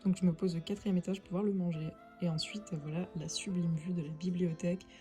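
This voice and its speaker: A young woman talks her viewers through her student week in an easy, rambling way, full of little pauses and fillers. Her voice sits a bit low and sounds soft and mellow. Faint music runs behind her. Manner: relaxed and chatty, many "euh" fillers. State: stuffy with a cold. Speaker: vlogger, finance master's student. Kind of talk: casual vlog narration.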